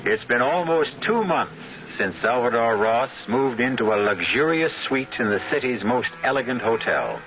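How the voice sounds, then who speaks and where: A man giving deliberate, theatrical scripted narration for a radio drama. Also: mid-range voice, lively intonation. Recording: muffled vintage radio recording. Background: music.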